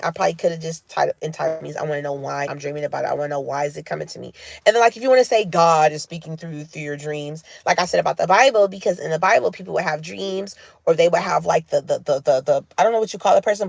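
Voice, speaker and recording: A woman vlogger talking in a loose, rambling monologue full of fillers, her voice lowered from smoking cigars. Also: gravelly, no room sound.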